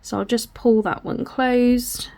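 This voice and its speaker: A hoarse woman, patiently talking through a crochet tutorial step by step, often apologising as she goes. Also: a little high, fairly even intonation, takes it slowly, a little mellow. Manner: patient, apologetic, talks through each hand movement.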